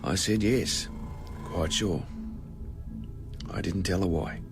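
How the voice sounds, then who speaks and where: Dutiful gardener, low-pitched, deliberate, shaken, dramatised witness statement, music behind. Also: male voice. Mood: troubled, subdued. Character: shaken, dutiful old-time college gardener giving a statement.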